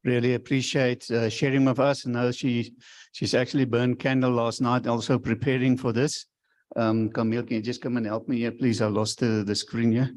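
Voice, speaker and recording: Man chairing a forum, mid pitch. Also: flat delivery, normal speed. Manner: warm thanks, loose phrasing with fillers. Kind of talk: event hosting.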